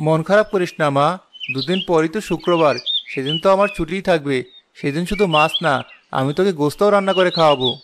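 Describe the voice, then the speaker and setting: A man playing a patient, henpecked village husband and gentle father in a cartoon drama, mild, placating and apologetic. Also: on the high side, quick pace, background noise, lightly acted. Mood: soothing. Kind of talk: Bengali cartoon drama acting.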